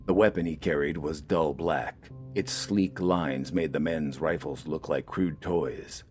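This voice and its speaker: A man reading a scripted dramatic story in a measured, deliberate storytelling manner. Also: rumbling low, steady tone, a little mellow, soft background music. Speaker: audiobook narrator.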